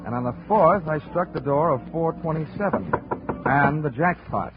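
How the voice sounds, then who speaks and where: An actor in a 1950s radio drama playing a wisecracking, hard-boiled American insurance investigator, with a wry, punchy delivery. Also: male voice, fairly high pitch, lively intonation, moderate pace, close to an everyday voice. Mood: wry narrating. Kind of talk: 1950s radio detective acting and narration. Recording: muffled old radio recording with music.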